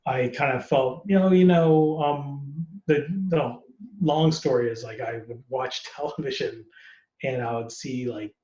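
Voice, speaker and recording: A man's voice: medium pitch, measured pace. Man in his forties, roomy muffled audio. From United States. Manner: relaxed, rambling, many "you know" and "um" fillers. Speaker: actor, podcast guest. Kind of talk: casual interview chat.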